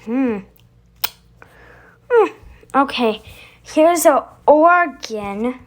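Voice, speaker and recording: A woman with a high voice chats casually as a video host. She speaks slowly and haltingly, thinking out loud as she introduces each step. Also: lively intonation. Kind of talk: casual chat to camera, demonstrating voice effects.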